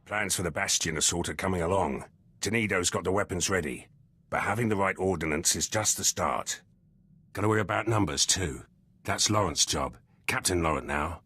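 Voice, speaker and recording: Gruff, husky, deep-voiced soldier. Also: male voice, moderate pace, sharp tone, no room sound, exaggerated voice. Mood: brisk, businesslike. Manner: terse, clipped phrases that drop words. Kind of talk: video game character dialogue.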